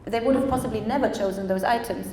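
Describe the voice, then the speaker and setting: A German photographer speaks at a public talk, chatty and quick to laugh, acting out the people she quotes. Her voice is mellow and dark. The sound is roomy, with a long hall reverb and faint ambience. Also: a little high, slight background noise. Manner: chatty, laughs often, acts out quoted dialogue. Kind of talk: panel talk about her photo project.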